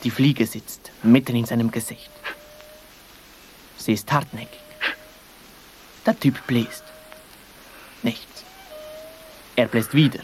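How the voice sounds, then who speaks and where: A man's voice: mid-range voice, steady tone, slow pace, long pauses, darkish tone, slight background noise, poor audio. A man telling a story for radio in terse, suspenseful fragments, often dropping close to a whisper.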